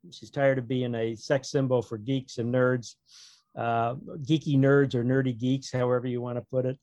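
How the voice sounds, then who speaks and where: A man's voice: mid-range voice, little pitch movement, normal speed, darkish tone, no echo. A man speaking as a guest author in a casual interview, rambling along as a wry storyteller. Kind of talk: casual interview chat about own writing.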